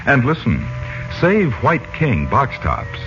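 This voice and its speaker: A man's voice: mid-range voice, wildly swooping pitch, takes it slowly, gravelly. A man announcing and narrating a 1940s radio serial from a script in a formal, theatrical, emphatic style. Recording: muffled vintage 1940s radio recording. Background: music.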